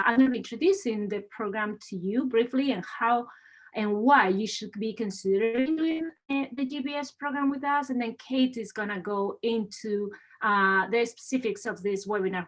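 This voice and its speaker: A woman's voice: fairly high pitch, a bit bright. A woman from Spain with a Spanish accent, an assistant dean presenting an admissions webinar with many "uh" fillers. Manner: frequent "uh" fillers, upbeat and welcoming.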